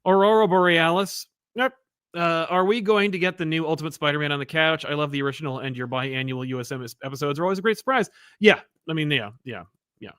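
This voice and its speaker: A man's voice: fairly high pitch, quick pace, a bit bright, clean tone, dry recording, clean studio sound. A young man co-hosting a comic book review show, chatting casually with enthusiasm and frequent laughs. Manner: enthusiastic, laughs often, many fillers. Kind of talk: casual podcast chat.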